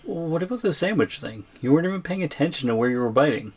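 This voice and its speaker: A man playing a tiny, nervous boyfriend held by a giantess in an ASMR audio roleplay, hesitant and gently protesting. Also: a little high, expressive, fast talker, husky. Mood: nervous, accusing.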